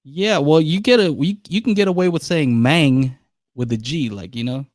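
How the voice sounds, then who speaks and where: A man's voice: on the high side, normal speed, a little mellow, dry recording, studio quality. A man hosting a live call-in podcast, chatting casually and laughing easily with callers. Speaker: podcast host.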